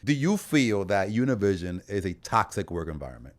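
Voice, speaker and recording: A man's voice: deep voice, sing-song, fairly quick. A man hosting a casual interview, informal as he circles his way into questions. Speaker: podcast host.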